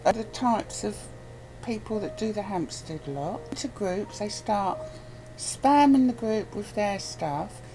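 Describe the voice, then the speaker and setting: A woman's voice: mid-range voice, expressive, a little slow, dark tone, soft background music, poor audio. A woman guest in casual, opinionated chat, speaking bluntly and emphatically and repeating phrases to press her point. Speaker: interview guest. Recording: clear background noise, ambient sound.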